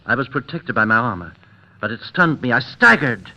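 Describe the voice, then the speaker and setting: An actor playing a proud warrior emperor of Spain with a secret terror, in a theatrical, impassioned old radio drama style. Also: male voice, medium pitch, very animated, normal speed. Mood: grave, boastful. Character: brave emperor of Spain secretly terrified of mice. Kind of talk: 1930s-40s radio drama acting. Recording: dull, lo-fi old radio broadcast recording.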